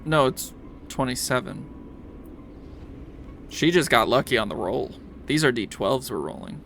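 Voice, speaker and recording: A man's voice: mid-range voice, expressive, measured pace, gravelly, a bit bright, slight background noise. A man casually narrating a tabletop game as game master, with a joking, self-deprecating manner.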